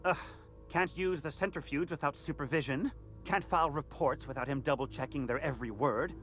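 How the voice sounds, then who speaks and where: An actor playing a put-upon, peevish junior lab scientist in a video game, fussy and exasperated. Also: male voice, fairly high pitch, a little fast, a bit bright, faint music behind, lightly acted. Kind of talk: video game character acting.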